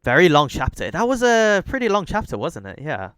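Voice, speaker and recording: A man's voice: a little high, huge pitch swings, a little fast, a bit bright, clean tone, no echo, clean studio sound. A young adult man streaming a game, chatting with viewers, thanking them by name and joking about himself. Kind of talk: live-stream gaming chat and reading aloud.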